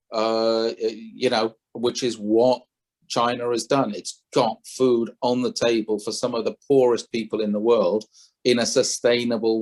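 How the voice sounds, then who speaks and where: Low-voiced man giving opinions. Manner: opinionated, thinks aloud with frequent self-corrections. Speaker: podcast guest, former head trader. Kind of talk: conversational interview.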